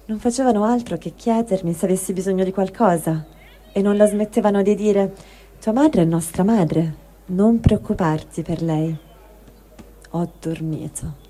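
A woman's voice: mid-range voice, normal speed, dark tone. A woman giving a dramatic reading of a first-person written testimony. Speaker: reader of a translated testimony.

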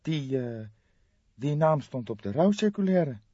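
A dry, slightly dull recording of a male reader playing a distraught younger man in an audiobook. The pitch is pushed up high, and the delivery is halting, trembling and pleading. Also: sing-song, dark tone, breathy edge, dry recording, heavily acted. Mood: anxious, pleading. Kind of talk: audiobook character voice.

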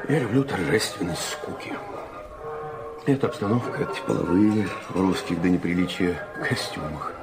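An actor playing a worldly, ironic middle-aged gentleman with an urbane, musing manner in a radio play. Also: sits low, lively intonation, normal speed. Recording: radio drama with loud music behind.

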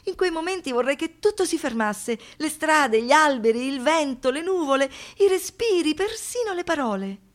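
Anguished female survivor, highish voice, poetic radio theatre monologue, fragmented phrasing, swings between hushed and intense. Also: animated. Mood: restless, agitated. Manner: halting short phrases, dropping to whispers, rising to outbursts.

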